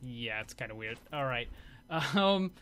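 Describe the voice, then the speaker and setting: A man's voice: mid-range voice, animated, measured pace, a bit bright, slight background noise. A man giving casual commentary over game footage as a video host.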